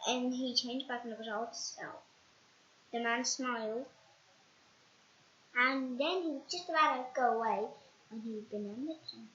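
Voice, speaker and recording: A child reading a storybook aloud in a halting, dramatic way, repeating words. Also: medium pitch, bright, forceful tone. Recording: dull, echoey home recording.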